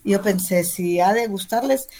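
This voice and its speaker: A woman with an accent from Spain, speaking as an interviewed novelist in a talkative, expressive, conversational way. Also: mid-range voice, moderate pace. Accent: Spanish, from Spain.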